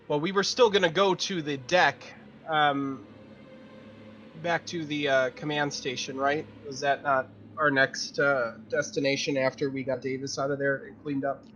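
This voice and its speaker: A man's voice: mid-range voice, fairly even intonation, unhurried, pauses often, fairly bright tone, dull audio, faint music behind. A man chatting casually as a player in a tabletop roleplaying game.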